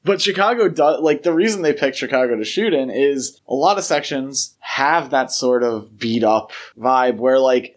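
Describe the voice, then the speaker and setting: Male podcast co-host, conversational film talk, analytical and a little tentative in phrasing. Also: medium pitch. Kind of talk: casual film discussion.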